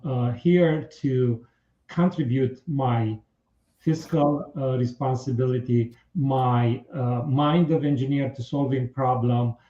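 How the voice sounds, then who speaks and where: A man with a Romanian accent speaking as a political candidate in a radio interview, in formal, earnest, halting phrases. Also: a little high, mellow. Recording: muffled, roomy radio interview sound.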